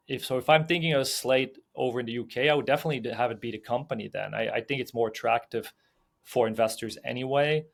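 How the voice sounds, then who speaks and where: A young man from Belgium, a film producer and sales agent, talking loosely in a podcast interview, full of fillers, restarts and "you know what I mean". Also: medium pitch.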